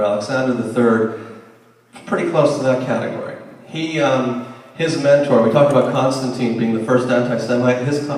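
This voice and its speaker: A man's voice: fairly low pitch, a little mellow. A middle-aged man giving a conversational history lecture to a class, with restarts and asides. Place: lecture room. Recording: roomy hall sound, mic at a distance.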